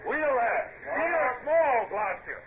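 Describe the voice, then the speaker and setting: An actor playing a brusque naval officer in a radio drama, barking out forceful orders. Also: male voice, very high-pitched, sing-song, takes it slowly, echoey room, full character voice. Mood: brusque commanding. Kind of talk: old-time radio drama acting. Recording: noisy, dull old radio drama recording.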